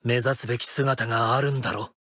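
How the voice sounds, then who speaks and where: A man voicing a cocky, driven high-school baseball catcher in an anime-style game. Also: little pitch movement, fairly quick, a bit bright, husky, dry recording, studio quality. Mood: serious, resolute.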